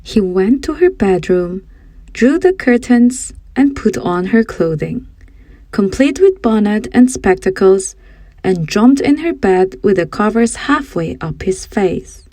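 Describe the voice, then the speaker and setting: A woman's voice: medium pitch, animated, unhurried, mellow, no echo. A woman reading a children's fairy tale as scripted audiobook narration.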